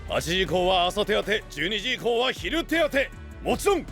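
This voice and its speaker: A man's voice: high-pitched, very animated, normal speed, gravelly, a bit bright, soft background music, heavily acted. A man playing a rugged, big-hearted young knight leader, brash and frank, in an anime audio drama. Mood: proud, earnest.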